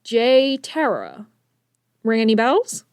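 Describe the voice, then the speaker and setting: Woman as high-voiced, excitable teen inventor hero. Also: takes it slowly, dry recording, heavily acted. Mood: curious, chatty. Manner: excitable, geeky, expressive. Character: eager, brainy teenage inventor hero. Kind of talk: audio drama acting.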